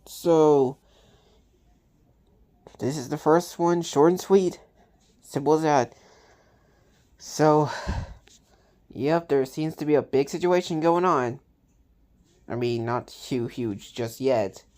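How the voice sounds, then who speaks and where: Reaction host: low-pitched woman, unhurried casual commentary in short halting phrases. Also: mellow.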